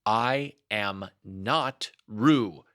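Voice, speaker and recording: A man's voice: on the low side, extremely slow, fairly bright tone, smooth, no echo, clean studio sound. A man voicing a small, anxious, indignant piglet in a storybook, trying to sound brave.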